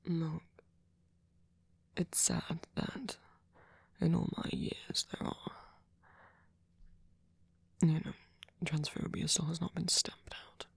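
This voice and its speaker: A man playing a gentle, centuries-old vampire boyfriend in an ASMR roleplay, speaking softly in a breathy, husky half-whisper with a hesitant, comforting manner. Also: on the high side, level tone, takes it slowly, pauses often, no echo, exaggerated voice. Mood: thoughtful, gentle. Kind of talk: ASMR roleplay, soft intimate monologue.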